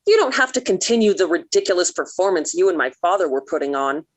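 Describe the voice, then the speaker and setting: A woman's voice: fairly low pitch, very animated, quick pace, no room sound. An actress playing an earnest, emphatic American teenage girl in love, in 1940s radio sitcom style. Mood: indignant. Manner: gushing or indignant, emphatic.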